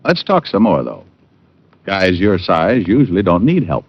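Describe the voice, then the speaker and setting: Hard-boiled American detective, very low voice, wry quips, old radio drama, dull vintage recording. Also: male voice, a little slow, slight background noise, exaggerated voice. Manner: wry, terse, deadpan quips. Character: wisecracking hard-boiled male private detective. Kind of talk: 1940s-50s radio drama acting and narration.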